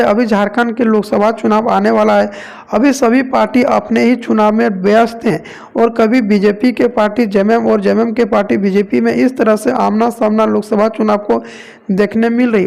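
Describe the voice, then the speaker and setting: A man's voice: sits high, creaky, darkish tone. A man from India narrating news reports as a presenter, leaning on repeated stock phrases.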